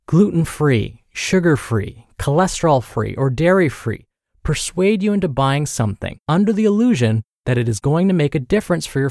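An American man hosting a podcast, reading and explaining in a clear, instructive, emphatic way. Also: on the high side, darkish tone, no echo, studio quality. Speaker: podcast host and nutrition teacher. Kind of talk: scripted narration and spoken commentary.

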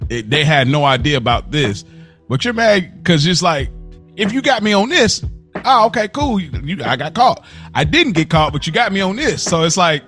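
Laughing, halting podcast co-host man. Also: on the high side, very animated, fairly bright tone, faint music behind. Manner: laughs often, halting restarts when explaining himself. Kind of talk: casual chat.

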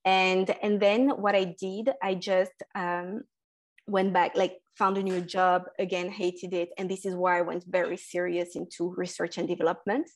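A woman speaks as an interview guest, her pitch sitting on the low side. She talks haltingly, restarting sentences and filling pauses, and laughs often. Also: level tone. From France. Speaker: guest, food entrepreneur. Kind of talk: casual interview.

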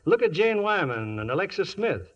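High-pitched American male radio host. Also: sing-song, normal speed, highs cut off. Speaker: host and narrator. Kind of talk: 1950s radio show hosting, scripted. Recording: band-limited old radio broadcast.

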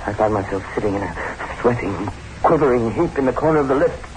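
An actor playing a fearful, trapped older husband in a theatrical, halting 1960s radio horror style. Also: male voice, medium pitch, lively intonation, lightly acted. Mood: haunted dread. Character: trapped, panicking older husband narrating his ordeal. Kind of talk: 1960s radio horror acting, first-person narration. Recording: very noisy old 1960s radio recording.

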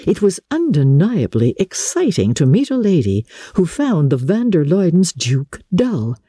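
Expressive woman narrator, husky and mellow. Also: fairly low pitch, no room sound, clean studio sound. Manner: measured, expressive storytelling. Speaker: audiobook narrator and radio presenter. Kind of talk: scripted dramatic reading of a classic novel.

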